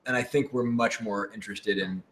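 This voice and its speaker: A man's voice: on the low side, fairly even intonation, fairly quick, a bit bright. A man in a casual group Q&A, talking informally as one of a production team and playfully chipping in. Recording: roomy, echoey sound.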